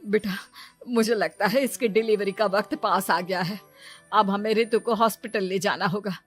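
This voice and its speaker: Woman acting reassuring family member, mid-pitched. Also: steady tone, moderate pace, faint music behind, natural voice. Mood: soothing. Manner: soothing, reassuring. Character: caring husband and mother-in-law, soothing and reassuring. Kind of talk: Hindi animated moral story acting.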